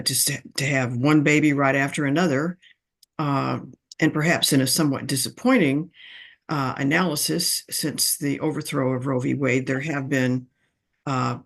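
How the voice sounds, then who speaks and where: Man, mid pitch, halting, many pauses, long careful question. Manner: slow, halting, many fillers. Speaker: audience member asking a question. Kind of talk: online discussion question.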